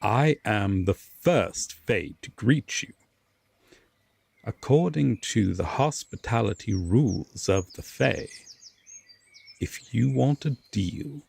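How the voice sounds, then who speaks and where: A brooding Fae lord, acted by a man in a roleplay audio drama. His voice sits on the low side and swings expressively through very slow, formal phrases. He is guarded and courteous, with reluctant kindness and long dramatic pauses. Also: creaky, a little mellow, husky, heavily acted.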